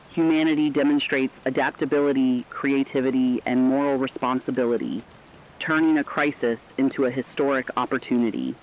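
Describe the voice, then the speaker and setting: Slightly muffled male newsreader, medium pitch. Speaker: radio news anchor. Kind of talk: scripted dramatized news broadcast. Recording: slightly dull, band-limited radio sound.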